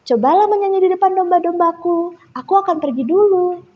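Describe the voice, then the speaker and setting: A kind, wise village woman, acted out by a female storyteller speaks in a high, gentle, reassuring way. She belongs to a children's tale, heard in a muffled recording with long hall reverb. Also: fairly quick. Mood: encouraging.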